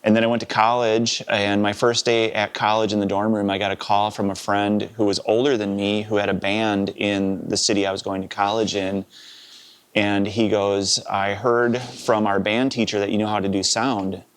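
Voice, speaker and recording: A man in his fifties with a Wisconsin accent, a live sound engineer telling his story in a relaxed, rambling interview. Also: lowish voice, normal speed, clean tone. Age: 50s. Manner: relaxed, rambling, with many "uh" and "you know".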